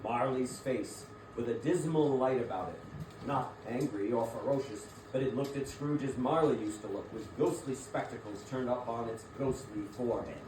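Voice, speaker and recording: A man's voice: mid-range voice, normal speed, a little mellow. A man narrating a classic tale as part of a dramatic theatrical reading. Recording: echoey room, distant mic, clear background noise.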